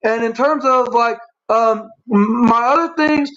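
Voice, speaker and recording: Very high-pitched, stuttering male guest. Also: a bit bright. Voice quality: stuttering speech impairment. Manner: halting, repetitive, long-winded. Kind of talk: personal explanation on a talk show. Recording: dull, lo-fi stream audio.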